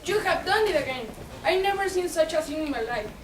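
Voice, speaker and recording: A woman's voice: extremely high pitch, lively intonation, a little fast, far from the mic. A woman playing a greedy, insistent king in an amateur play, with a declamatory delivery. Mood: amazed, greedy. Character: amazed, greedy king promising marriage. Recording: echoey room from afar, clear noise.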